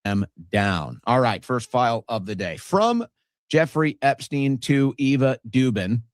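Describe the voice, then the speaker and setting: Man, show host, medium pitch, measured document reading, formal disclaimers, brief asides, dry recording. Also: no echo.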